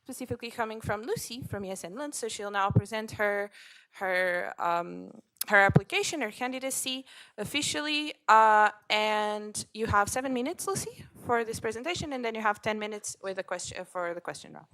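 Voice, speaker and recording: A woman's voice: mid-range voice, animated, normal speed, fairly bright tone, poor audio. A woman acting as chair of a meeting, moderating informally and giving procedural instructions.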